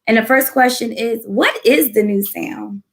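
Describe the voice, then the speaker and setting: A woman's voice: fairly high pitch, measured pace, vocal fry, over a noisy crowd. A woman hosting a faith podcast live, losing her voice, speaking casually and dropping church phrases as she goes. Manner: prayerful, peppered with church phrases. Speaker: podcast host.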